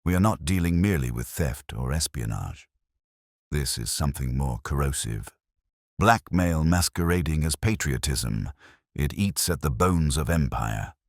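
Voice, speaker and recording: A man plays a shrewd Victorian detective in an audiobook story. The voice is extremely low and deliberate, commanding in tone, with expressive swings and a dry, close sound. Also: no room sound, full character voice. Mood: grave, brooding.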